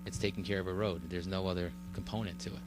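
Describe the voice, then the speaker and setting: A man speaking at a village board meeting, explaining a road project in a halting way with many fillers and restarts. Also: low voice, little pitch movement, over music. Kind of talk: public meeting testimony. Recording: mains hum under the voice.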